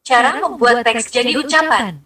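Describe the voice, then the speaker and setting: A text-to-speech woman's voice reading a short sample phrase with a smooth, even delivery. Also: fairly high pitch, moderate pace. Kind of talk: synthetic demo phrase.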